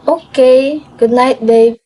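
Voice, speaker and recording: A woman's voice: sits high, steady tone, slow pace, warm tone, a little hiss or hum. A woman playing a breezy, self-assured young woman in a radio drama, casual in her delivery. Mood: affectionate.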